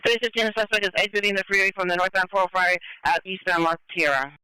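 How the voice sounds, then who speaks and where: Bright, very clear high-voiced male police dispatcher. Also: steady tone, fast, no room sound, rough recording. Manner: clipped, procedural, repeating reports. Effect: police radio transmission. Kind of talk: police radio dispatch, relaying pursuit updates.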